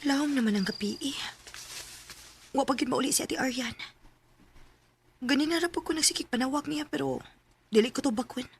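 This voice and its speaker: A woman playing an affectionate, trusting young student and younger sister in a radio drama, with gentle, expressive delivery. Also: sits high, normal speed, creaky, long pauses, a little hiss or hum. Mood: worried.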